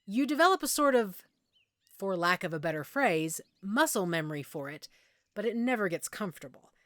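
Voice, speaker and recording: A woman playing a friendly werewolf pack member in an intimate audio roleplay, patiently explaining and gently reassuring the listener. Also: medium pitch, expressive, normal speed, dry recording, natural voice. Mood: patient, explanatory.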